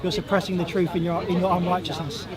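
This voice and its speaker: A man with a high voice that has gone hoarse, pressing his points in a street debate. He talks insistently and doubles back on words when he is cut off. A crowd murmurs clearly around him in an open public park. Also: level tone, quick pace. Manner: insistent, argumentative, repeats himself when cut off. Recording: outdoor crowd noise.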